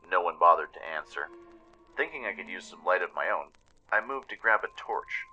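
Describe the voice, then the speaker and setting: Forceful low-voiced man narrating a radio play. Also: expressive, normal speed, dull audio, faint music behind. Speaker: narrator and producer. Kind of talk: dramatic scripted story narration.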